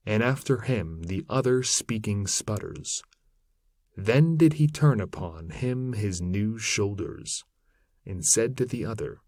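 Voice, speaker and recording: A man reading classic epic verse aloud as a narrator, in a measured, declamatory manner. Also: fairly low pitch, darkish tone, no echo, studio quality. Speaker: reader of classic poetry. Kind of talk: literary poetry narration, read aloud.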